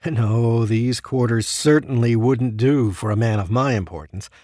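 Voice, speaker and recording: A man's voice: medium pitch, measured pace, dark tone, dry recording, clean studio sound, natural voice. An actor playing a self-important, demanding man stuck in Hell, haughty and complaining, in an audio drama. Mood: self-important narrating. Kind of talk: first-person audio drama narration and dialogue.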